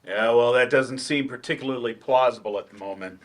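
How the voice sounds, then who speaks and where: A man's voice: mid-range voice, brisk, a bit bright, close to an everyday voice. A man reading the part of a dejected, sarcastic writer turned teacher in a staged play, dry and wordy. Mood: defensive. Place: library event room, staged reading.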